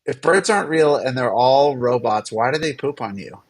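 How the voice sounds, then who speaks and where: A man hosts a livestream in a casual, chatty style. His manner is playful and teasing, and his pitch sits in the middle for a man. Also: expressive, normal speed. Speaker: livestream host.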